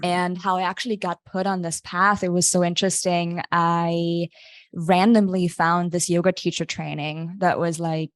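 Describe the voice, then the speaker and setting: A young woman from Austria tells her story in a low, fairly steady voice, candid and unguarded. The sound is dry and close, with no room around it. She often slips into quoting what she thought at the time. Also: normal speed, no room sound. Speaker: podcast guest. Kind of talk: casual storytelling.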